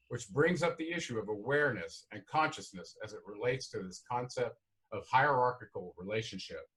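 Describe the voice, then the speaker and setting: A man's voice: mid-range voice, a bit bright, natural voice, poor audio. Man reading as a self-assured, lofty alien god. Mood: reasoning, lofty. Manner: formal, didactic, philosophical. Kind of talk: screenplay read-through.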